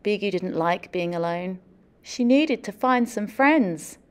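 Female children's storyteller, thirties: animated, slow and deliberate, encouraging tone. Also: medium pitch. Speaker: teacher or librarian reading to children. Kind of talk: expressive children's storytelling and classroom questioning.

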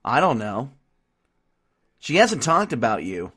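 A man's voice: mid-range voice, very animated, takes it slowly, fairly bright tone, slightly rough, full character voice. A man playing a wry, easygoing young college student in casual audio drama dialogue. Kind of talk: casual conversational drama acting.

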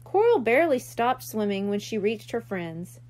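A woman narrates a children's storybook as a reader. Her voice is high and her delivery is expressive, with lively rises and falls. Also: moderate pace.

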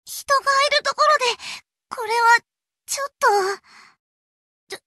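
A woman's voice: very high voice, fairly even intonation, unhurried, pushed and bright, no echo, studio quality, heavily acted. A woman voicing a perky, vain teenage schoolgirl idol in an anime mobile game, with a cutesy, girlish delivery and a tsundere streak. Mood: flustered. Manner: cutesy self-promotion with a verbal tic, snapping when annoyed.

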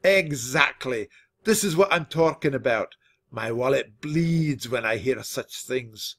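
A man voicing a bossy, miserly old grandfather mouse in a children's audiobook, hectoring loudly with emphatic, drawn-out words. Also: mid-range voice, animated, exaggerated voice. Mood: stern, exasperated.

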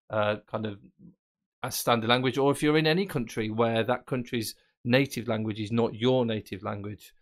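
Casual man demoing software on screen. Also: mid-range voice. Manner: casual, explanatory, thinking aloud. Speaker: tech presenter. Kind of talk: screen-recorded software tutorial.

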